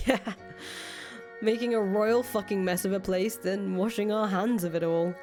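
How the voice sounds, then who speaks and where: A woman performs a disillusioned young ex-leader from a visual novel. She is blunt, sardonic and sweary, speaking in a medium-pitched voice over prominent music. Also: fairly even intonation, unhurried, darkish tone, close to an everyday voice. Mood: weary, bitter. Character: jaded, foul-mouthed young woman, fugitive ex-cult leader. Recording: music playing under the voice.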